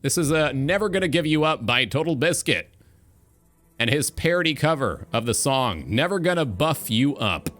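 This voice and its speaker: Bright-voiced, animated man hosting a talk show. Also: fairly high pitch, moderate pace. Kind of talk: casual livestream talk show monologue.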